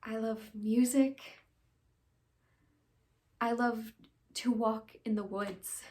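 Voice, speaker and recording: A woman acting an earnest, conflicted young woman in a halting, pleading stage monologue of confession and farewell. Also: a little high, fairly even intonation. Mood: wistful, confiding. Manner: halting, pleading, with long pauses and hushed phrases.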